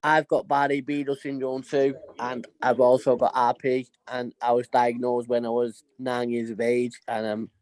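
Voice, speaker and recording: A man in his forties with an ordinary middle pitch introduces himself plainly and apologizes more than once. He speaks from a home video call. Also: level tone, a little slow, few pauses, darkish tone, poor audio. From England. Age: 40s.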